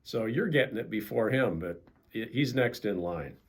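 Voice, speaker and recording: A man's voice: lowish voice, lively intonation, normal speed, husky. An older man with an American accent, a pastor reading a children's storybook aloud and chatting casually.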